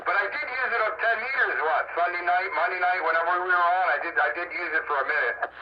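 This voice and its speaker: Guy talking radio tech casually, kinda high voice, super noisy muffled radio audio. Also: quick pace. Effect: transmitted radio audio. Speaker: amateur and CB radio operator. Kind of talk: casual technical chat over radio. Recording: noisy, band-limited radio transmission.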